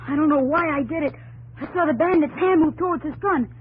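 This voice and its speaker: A man's voice: very high voice, brisk, rough recording. An actor voicing an earnest young pioneer boy who narrates a 1950s radio drama. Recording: old radio broadcast with loud hiss.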